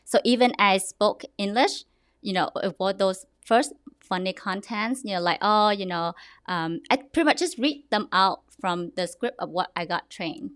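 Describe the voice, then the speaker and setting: A young woman with a Vietnamese accent chats as a podcast guest, animated and quick to restart her sentences. Her voice sits in a middle pitch and is recorded dry and close, with no room sound. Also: dry recording. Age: young adult. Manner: animated, restarts often, laughs. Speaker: real estate agent. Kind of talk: podcast interview.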